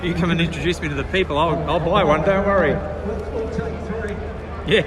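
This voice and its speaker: A man's voice: on the high side, moderate pace. An Australian man doing a casual, jokey live interview as an event host over a public address system. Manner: chatty, jokey. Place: outdoors at a motor show. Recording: echoey outdoor PA, distant, crowd chatter. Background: crowd.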